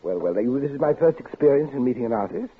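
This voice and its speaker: An actor playing a quiet, poetic and unsettling gentleman suitor in a 1950s radio drama. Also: mid-range voice, expressive, quick pace, natural voice. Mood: admiring. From London. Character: soft-spoken, poetic, creepy gentleman suitor. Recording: tinny old radio broadcast with faint hiss.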